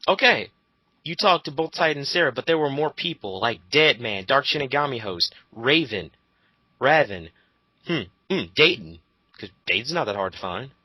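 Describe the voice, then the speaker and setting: A man's voice: mid-range voice, sing-song, moderate pace, pushed and bright, husky, no room sound, rough recording. A man giving casual ranting commentary, profane, sarcastic and combative. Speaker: co-host commenting.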